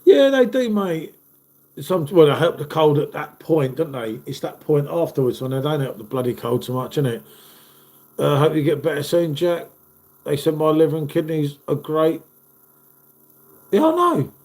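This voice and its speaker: A chatty man in his fifties hosts a live stream and reads out viewers' comments. His voice sits a little high, and a cold leaves him blocked up and nasal. He keeps calling everyone "mate". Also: sing-song, normal speed, darkish tone. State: stuffy nose from a cold.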